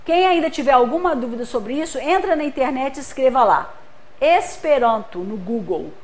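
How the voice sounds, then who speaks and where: Woman from Brazil, high voice, lecturing, patient explanations, checks in with listeners. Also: lively intonation, normal speed, darkish tone. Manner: explains patiently, uses homely examples, checks with "tá?". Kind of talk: spiritist center lecture.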